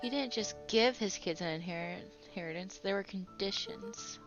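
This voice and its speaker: A woman's voice: medium pitch, huge pitch swings, normal speed, lo-fi sound, over music. A woman voicing a young female reporter who shares rumors in a chatty, knowing way. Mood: gossipy.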